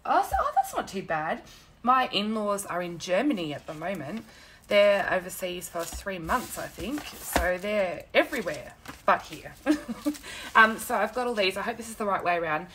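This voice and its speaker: A young woman with a croaky, sore-throated voice, chatting casually with viewers while she hosts a crafting live stream from her desk at home, laughing often and greeting commenters by name. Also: medium pitch, lively intonation, moderate pace, a bit bright, a little hiss or hum, low-quality recording. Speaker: paper-craft demonstrator hosting a live stream. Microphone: phone camera.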